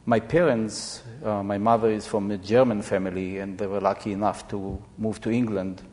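A man in his seventies from Israel, explaining informally to an audience in a halting, reflective way. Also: fairly low pitch, level tone, a little mellow. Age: 70s. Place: large echoey room or hall. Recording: reverberant hall sound.

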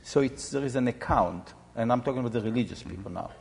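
A man in his seventies from Israel, explaining informally to an audience in a halting, reflective way. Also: mid-range voice, animated, gravelly, a little mellow. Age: 70s. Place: large echoey room or hall. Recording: reverberant hall sound.

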